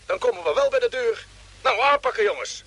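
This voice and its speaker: An actor playing a decisive, cautious spaceship commander in a Dutch radio play. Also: male voice, extremely high pitch, expressive, fairly quick. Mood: commanding, steady. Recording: noisy old radio drama recording.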